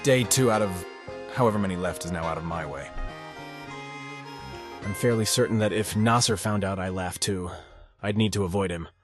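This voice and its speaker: Cynical teen narrator for a visual novel, played by a man: low-pitched, self-deprecating, sardonic, over clear background music. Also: normal speed, close to an everyday voice. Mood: wry, self-indulgent. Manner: sardonic, muttering asides. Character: cynical, self-deprecating male high school student narrator. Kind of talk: first-person scripted narration, visual novel dub.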